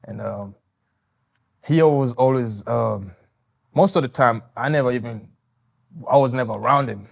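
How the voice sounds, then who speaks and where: A man in his twenties from Tanzania, answering interview questions politely, softly and with loose, hesitant phrasing. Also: medium pitch, normal speed, vocal fry, pauses often. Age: 20s.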